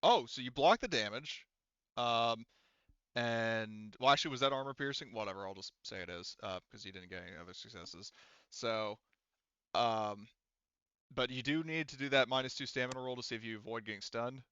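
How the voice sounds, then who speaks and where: Bright-voiced, casually swearing male game master. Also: mid-range voice, moderate pace, clean tone, dry recording, dull audio. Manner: informal, swears freely. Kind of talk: casual tabletop game narration and rules talk.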